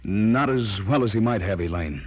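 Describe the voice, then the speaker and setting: An actor playing a scientist's earnest, fearful assistant and narrator in an old-time radio horror drama, solemn and dramatic. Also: male voice, on the low side, animated, moderate pace, slightly rough, highs cut off. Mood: wary, insistent.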